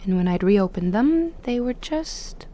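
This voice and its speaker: A woman's voice: mid-range voice, lively intonation, a little slow, mellow, natural voice. A young woman acting in an audio drama as a guarded, awkward academic, speaking in a hesitant, reserved way that trails off. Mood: hesitant, nostalgic. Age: young adult.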